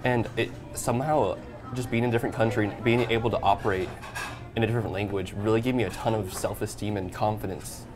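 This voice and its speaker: A man's voice: fairly low pitch, steady tone, music in the background. A man in his twenties, an eager former exchange student talking about his time abroad, recorded on a lapel mic in a small shop. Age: 20s. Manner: eager, talkative, quick restarts. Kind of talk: casual interview talk. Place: small shop with shelves, indoors.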